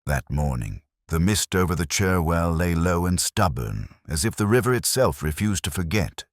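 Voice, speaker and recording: A man playing a melancholy middle-aged English police inspector, narrating his case in a radio drama with a solemn, measured, literary delivery. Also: sits low, big pitch swings, no echo, studio quality. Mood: somber, reflective. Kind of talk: scripted first-person radio drama narration.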